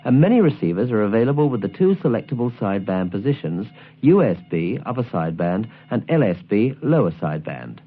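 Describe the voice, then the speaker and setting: A man presenting a scripted instructional guide, explaining things in a clear, didactic way. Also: on the low side, very animated, brisk, few pauses, phone-quality sound, soft background music. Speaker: presenter of a shortwave listening guide.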